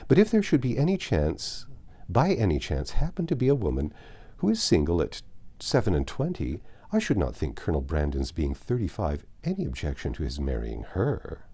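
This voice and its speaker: Composed, sensible period heroine , read by a man; measured reasoning, mellow timbre, lively intonation. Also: sits low, husky, full character voice. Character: Eleanor, composed, sensible young woman.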